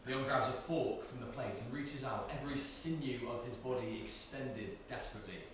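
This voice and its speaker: Male narrator for stage directions: scripted, mid-pitched, very reverberant room, clear background noise. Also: normal speed. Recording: very echoey, distant mic, noisy.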